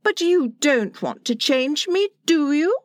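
A woman's voice: high voice, lively intonation, slow pace, no room sound, studio quality, pitched above the performer's own voice. A woman voicing a prim young girl who plays at being mother, tender and easily hurt, in storybook narration. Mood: tender, gratified. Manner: prim, emphatic, grown-up airs.

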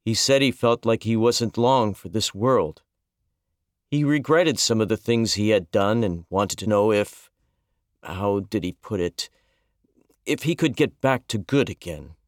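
A man voicing a calm, gentle middle-aged reverend who speaks softly and consolingly. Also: lowish voice, dry recording, studio quality. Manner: soft-spoken, measured, consoling.

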